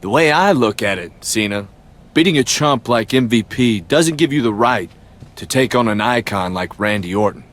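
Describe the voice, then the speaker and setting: A man's voice: medium pitch, expressive, normal speed, fairly bright tone, close to an everyday voice. A man playing an arrogant, menacing henchman wrestler in a scripted video game cutscene. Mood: threatening. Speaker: wrestler character.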